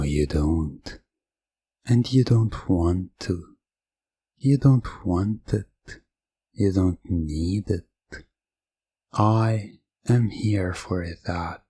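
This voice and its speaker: Man playing a very slow, deep hypnotist game master. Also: dark tone, husky, no room sound, full character voice. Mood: coaxing, commanding. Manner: slow, deliberate, dominating, hypnotic repetition. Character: commanding, possessive male game master hypnotist, smugly triumphant. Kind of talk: hypnosis ASMR roleplay.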